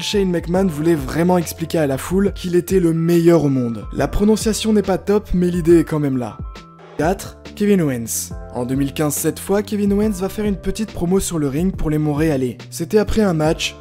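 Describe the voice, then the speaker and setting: A man narrates a scripted countdown as its host. His voice sits a little high and has a soft, mellow tone, with light music behind it. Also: brisk. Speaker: host of a wrestling countdown video. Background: background music.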